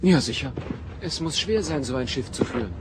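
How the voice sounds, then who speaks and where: A man as an eager young suitor, animated. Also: medium pitch, normal speed, noisy background, close to an everyday voice. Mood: earnest. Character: earnest, eager young male doctor and bridegroom. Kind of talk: German radio play acting. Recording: loud music behind radio drama.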